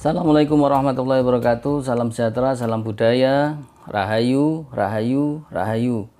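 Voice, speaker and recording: Guy w hosting a culture channel, gravelly hoarse voice, kinda sick sounding, explains stuff informally. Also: medium pitch, moderate pace, warm tone. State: hoarse, under the weather. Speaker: host of a heirloom dagger culture channel. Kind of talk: informal explanatory presentation to viewers.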